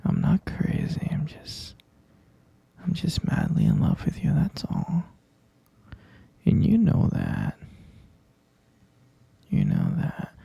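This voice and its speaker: A man playing a possessive, lovesick kidnapper in an intimate ASMR roleplay, coaxing softly in a breathy half-whisper and repeating himself. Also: a little high, wildly swooping pitch, slow pace, pauses often, no echo, heavily acted. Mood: defensive, lovesick. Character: obsessive lovesick male kidnapper, soothing and possessive yandere.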